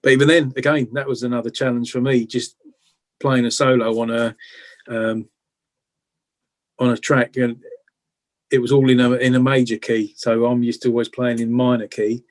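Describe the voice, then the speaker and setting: British man with a narrow, even pitch. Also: normal speed, pauses often. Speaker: guitarist, interview guest. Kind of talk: casual chat about music-making.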